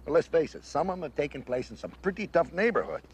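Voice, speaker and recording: A man's voice: a little high, big pitch swings, fast, slight background noise. An actor playing an exasperated American man, griping and talkative with a sarcastic edge, in a television drama. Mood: wry, easygoing. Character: exasperated sarcastic human partner of an angel.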